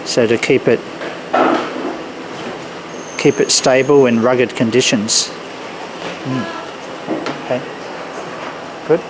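Middle-aged man amid very loud machinery. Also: mid-range voice, steady tone, slow pace, long pauses. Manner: brief, appreciative interjections and echoes. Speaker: visiting tour host. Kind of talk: casual on-site questioning. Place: busy electronics factory floor, indoors. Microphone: handheld camera microphone. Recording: loud machinery noise, handheld camera sound.

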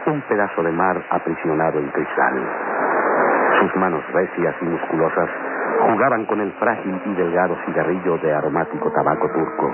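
A man as a deep solemn radio narrator. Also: very noisy, close to an everyday voice. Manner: solemn, florid, theatrical. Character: solemn male storyteller of a mysterious adventure serial. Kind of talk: vintage radio drama narration. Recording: tinny old radio recording, loud music.

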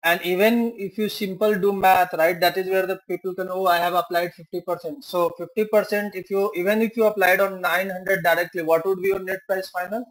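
High-voiced man explaining step by step. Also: level tone, fairly quick, hardly any pauses, rough recording. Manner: explains step by step, many fillers and self-corrections, asks rhetorical questions. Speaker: software trainer. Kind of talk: screen-share tutorial walkthrough.

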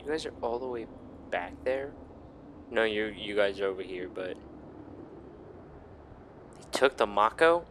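A man's voice: lowish voice, lively intonation, measured pace, pauses often, noisy background. A man giving casual, sweary, exclamatory gameplay commentary as he hosts a gaming video, often talking himself up. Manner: sweary, self-congratulating, exclamatory.

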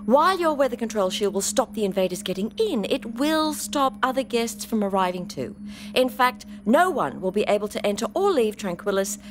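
High-pitched, dry older woman. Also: very animated, normal speed. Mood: dry, commanding. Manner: dry, exasperated. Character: dry, irritable older woman, unflappable scientific adventurer. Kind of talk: sci-fi comedy audio drama. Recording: noisy ambient sound effects behind.

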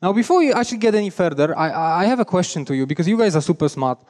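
A man's voice: sits high, lively intonation, rapid-fire, a little mellow. Polish-accented man speaking in a reverberant hall. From Poland. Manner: chatty, self-deprecating, with frequent restarts. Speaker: conference speaker. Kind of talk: technical conference talk. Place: large conference hall stage. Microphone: headset mic.

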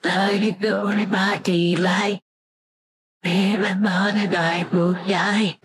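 A man's voice: high voice, little pitch movement, slow pace, fairly bright tone, slightly rough, pitched above the performer's own voice, full character voice. A man voicing a young female anime heroine, singing dreamily, in poor noisy audio. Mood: dreamy. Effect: AI voice changer.

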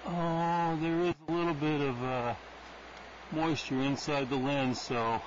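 A man's voice: fairly high pitch, fairly even intonation, a little slow. A man talking casually to himself while fixing a wildlife camera at an outdoor nest box, thinking aloud with many fillers. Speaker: wildlife cam caretaker. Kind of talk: casual running commentary while working. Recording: muffled lo-fi nest cam audio with noise.

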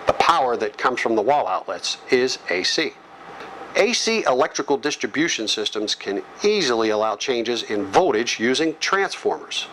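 Middle-aged man, American accent, instructor, varied intonation, measured phrasing, faint hum behind the voice. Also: medium pitch, fairly bright tone, rough recording. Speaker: electrical training instructor. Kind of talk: instructional lecture read from slides. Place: small office or classroom with whiteboard, indoors. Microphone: lapel microphone.

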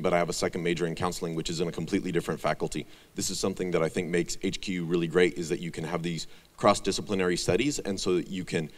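Deep, fast-talking young man. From Bulgaria. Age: young adult. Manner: fluent, confident, quick. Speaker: student speaker. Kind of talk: presentation talk. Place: large hall. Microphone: handheld mic. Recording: echoey hall sound.

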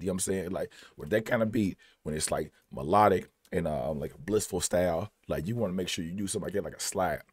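Kentucky man, 30s, hoarse and husky, low, relaxed reaction host in a dry small room. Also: dry recording. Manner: laid-back, slangy, many "you know what I'm saying". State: losing his voice. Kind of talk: casual reaction commentary. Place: small home studio, indoors.

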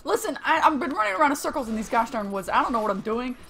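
A young woman with a low-pitched voice chatting casually while streaming a game, rambling with many restarts. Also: very animated, very fast, fairly bright tone, a little hiss or hum. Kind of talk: casual gaming chat.

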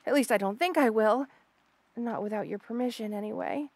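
A woman plays an obsessive monster girl, half snake, in an audio roleplay. Her voice is on the high side and recorded close and dry. She gushes hesitantly, shy yet unsettling, stammering coyly as she confides. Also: a little fast, a little mellow, no echo. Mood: longing, tender. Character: obsessive lovestruck female rattlesnake lamia, shy yet unsettling. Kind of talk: intimate audio roleplay monologue.

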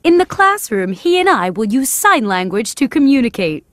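A high-pitched, lively woman's voice for a gentle, helpful grown-up in a children's cartoon played by an actress. She enunciates clearly and expressively, in a dry recording. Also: moderate pace, dry recording. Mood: helpful, polite. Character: friendly, helpful adult women: interpreter and mom. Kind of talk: children's animated story dialogue.

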